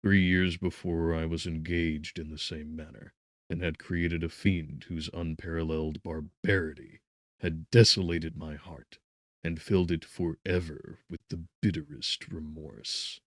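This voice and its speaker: A man narrates Gothic horror in a very low, deep voice. His reading is solemn and theatrical, rising into emphatic swells and falling to hushed phrases. The sound is dry and close, with no room around it. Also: level tone, unhurried, no echo. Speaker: audiobook narrator. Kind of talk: dramatic scripted narration of Gothic horror fiction.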